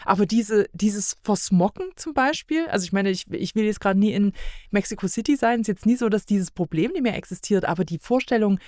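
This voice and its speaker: A woman's voice: medium pitch, lively intonation, fairly quick, warm tone, no room sound, studio quality. A woman chatting casually and reflectively as a podcast guest, thinking aloud at length and repeating points for emphasis.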